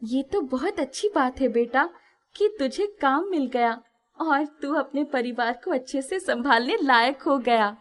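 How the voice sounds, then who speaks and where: A woman voicing a caring mother of a grown son in an animated moral story. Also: high-pitched, unhurried, pitched above the performer's own voice. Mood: pleased.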